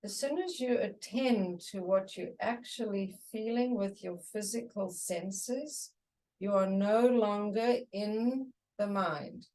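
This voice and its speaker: A woman teaching a guided meditation, giving soothing, unhurried instructions in short phrases with pauses. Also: mid-range voice, a little mellow, distant mic, low-quality recording.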